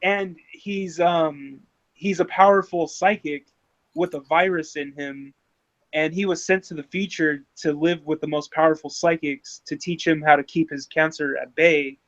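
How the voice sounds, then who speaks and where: A man in his thirties talks in a relaxed chat, stumbling over his words and restarting, then speeding up when he gets excited. His voice sits a little high. The recording sounds dull and lo-fi. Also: unhurried. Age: 30s. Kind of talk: casual chat.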